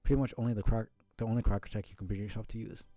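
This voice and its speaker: A man streaming a casual read-aloud of a comic, with halting, muttered asides. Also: lowish voice, steady tone, warm tone, no echo, soft background music. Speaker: streamer reading a webcomic aloud.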